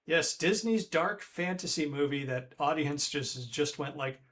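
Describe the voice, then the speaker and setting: Slow-talking man, raised pitch. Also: fairly bright tone. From Northern Ireland. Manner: rambling, self-correcting, chatty. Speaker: streamer host. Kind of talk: casual chat on a retro game stream.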